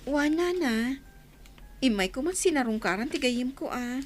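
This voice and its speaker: A woman's voice: high-pitched, lively intonation, normal speed, soft background music. A woman with an Ilocano accent playing a friendly young village teacher in a radio drama. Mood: respectful.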